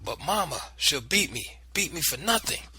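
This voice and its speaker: A man's voice: on the high side, big pitch swings, normal speed, sharp tone, slightly rough, dry recording, pitched above the performer's own voice, full character voice. A man doing a frightened, defiant young boy. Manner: pleading, defiant.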